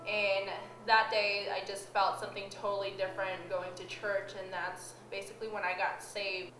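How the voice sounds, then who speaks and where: A woman's voice: medium pitch, level tone, moderate pace, a bit bright. A young woman from the Philippines giving an earnest, reflective personal testimony about her faith. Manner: earnest, reflective, with light laughs. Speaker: church member telling her story. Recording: roomy sound with music behind.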